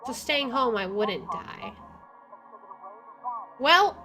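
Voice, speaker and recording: A woman's voice: fairly high pitch, sing-song, extremely slow, music in the background. A woman doing playthrough commentary and reading game text aloud, with a playful, wisecracking manner. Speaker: video game let's player.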